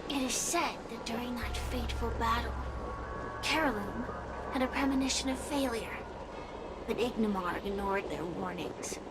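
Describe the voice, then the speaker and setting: A woman's voice: fairly high pitch, normal speed, over loud music, lightly acted, low-quality recording. A woman voicing a cunning, taunting spirit in a video game, speaking in a portentous manner. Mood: grave. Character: cunning fire spirit, smug and foreboding. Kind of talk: video game cutscene acting.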